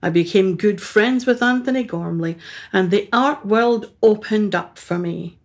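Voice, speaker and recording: A woman's voice: medium pitch, a little slow, natural voice. An actress playing a haughty, self-absorbed upper-class mother in a radio play, with a brusque, imperious manner and clipped phrases.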